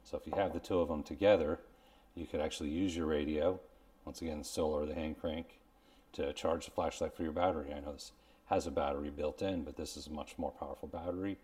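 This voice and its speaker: Very deep-voiced man, casual reviewer. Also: fairly even intonation, fairly quick, darkish tone, recorded from a distance. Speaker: host. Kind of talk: casual product review to camera. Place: indoors, small room at home. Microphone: clip-on lavalier on shirt.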